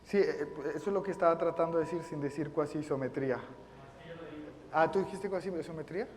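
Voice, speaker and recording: A man giving a math lecture, halting and often repeating his words. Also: on the high side, a little mellow. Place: lecture room. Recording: reverberant lecture hall.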